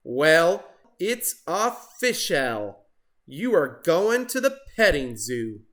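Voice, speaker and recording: A man's voice: on the high side, big pitch swings, extremely slow, a bit bright, full character voice. A man voicing a stern, fed-up farmer in a children's storybook, scolding emphatically.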